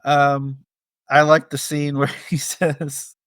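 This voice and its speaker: A man co-hosting a casual film podcast, speaking in a hesitant, halting but appreciative way. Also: on the high side, monotone, a bit bright, smooth, dry recording, clean studio sound. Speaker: podcast co-host. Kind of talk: casual movie discussion podcast.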